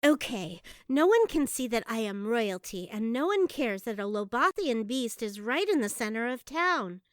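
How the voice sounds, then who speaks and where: A woman's voice: fairly high pitch, big pitch swings, normal speed, dry recording, studio quality. A woman playing a young, haughty and dramatic princess in an audiobook, with a puckish, proper, theatrical delivery. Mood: determined. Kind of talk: audiobook character acting.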